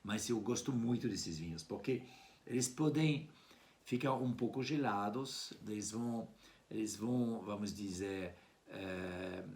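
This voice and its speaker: A man from France with a French accent, a native French speaker, giving an informal wine tasting presentation with somewhat hesitant phrasing. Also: on the low side. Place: at home indoors.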